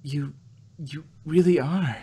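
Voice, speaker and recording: A man voicing a timid, puzzled female cartoon pony with a breathy, stammering delivery. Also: a little high, takes it slowly, warm tone, heavily acted. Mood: nervous, hesitant. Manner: hesitant, stammering.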